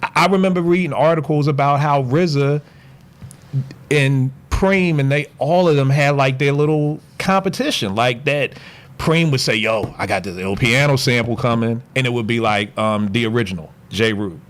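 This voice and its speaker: A man's voice: medium pitch, moderate pace. A man talking passionately and bluntly as a podcast guest, ranting in a casual, slangy way. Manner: passionate, animated, opinionated rants.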